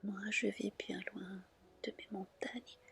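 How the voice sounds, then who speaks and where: A woman's voice: medium pitch, flat delivery, slow pace, vocal fry, fairly bright tone, husky, full character voice. A woman voicing a lonely, devoted fairy wife in a whispered storybook reading, plaintive and formal. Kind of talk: whispered ASMR storybook dialogue.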